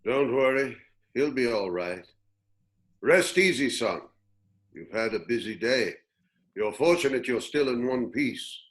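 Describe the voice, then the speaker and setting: A man acts out a wise old hermit of the desert in a sci-fi script reading. He sounds gentle and reflective, at a middling pitch. He measures his words like a patient mentor. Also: animated, unhurried, pauses often, lightly acted. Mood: gentle, reassuring. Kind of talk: script table read acting.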